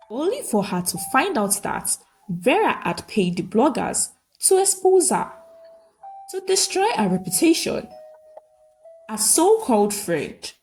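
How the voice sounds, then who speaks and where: A woman's voice: a little high, a little mellow, low-quality recording. A woman narrating a scripted folktale as a storyteller, in dramatic short sentences.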